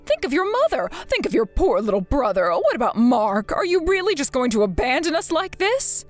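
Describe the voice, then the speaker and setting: A shrill, wheedling mother, put on by a woman in an audio drama, pleading and self-pitying. Her voice sits high and lurches up and down, and faint music plays beneath her. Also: sing-song, moderate pace. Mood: begging. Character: whining, manipulative middle-aged mother. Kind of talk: dramatized story.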